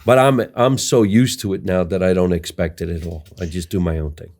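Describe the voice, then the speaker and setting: A man's voice: low-pitched, fairly even intonation, fairly quick, a little mellow. A man hosting a podcast, a stand-up comedian talking about his work in a blunt, matter-of-fact way. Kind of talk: casual podcast chat.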